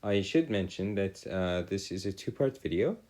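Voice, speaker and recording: A man with a fairly deep voice tutors through a worked problem. His intonation rises and falls widely from phrase to phrase. He speaks in formal, elaborate sentences and often stops to correct himself. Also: lively intonation, moderate pace, a little mellow. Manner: formal, wordy phrasing with frequent self-correction. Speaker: math tutor. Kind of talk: video lesson working through calculus problems aloud.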